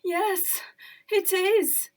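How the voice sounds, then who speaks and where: Woman playing a frightened village woman, storybook figure, loud, anxious, sing-song. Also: extremely high pitch, steady tone, very slow, recorded from a distance, voice pushed up, heavily acted.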